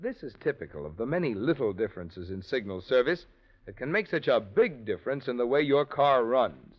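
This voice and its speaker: A man's voice: mid-range voice, big pitch swings, brisk. A male radio announcer delivering a scripted sponsor message in 1940s style. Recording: dull old radio recording.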